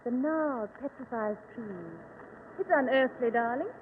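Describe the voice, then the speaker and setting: High-voiced young bride, old radio drama. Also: female voice, measured pace. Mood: dreamy, uneasy. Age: young adult. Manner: dramatic, expressive. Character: young bride on honeymoon, uneasy, affectionate, pleading. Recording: old lo-fi radio, hiss, long reverb.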